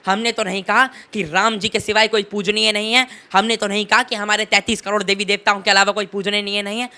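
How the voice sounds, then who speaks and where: Bright-voiced teenage boy, emphatic political speaker. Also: high-pitched, level tone, brisk, clear, resonant tone. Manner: emphatic, rhetorical, oratorical repetition. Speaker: interviewee, young political commentator. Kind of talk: impassioned political commentary in an interview.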